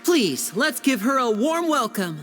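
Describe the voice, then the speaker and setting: A man's voice: extremely high pitch, sing-song, a little slow, fairly bright tone, faint music behind, full character voice. An actor playing a charming, theatrical young male stage magician. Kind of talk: video game cutscene dub. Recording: long reverb.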